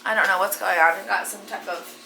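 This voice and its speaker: A hoarse woman in her thirties hosting a casual cooking vlog, chatty and full of ums and likes. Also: mid-range voice, steady tone, a little fast, pushed and bright, slight background noise, poor audio. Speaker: cooking channel host.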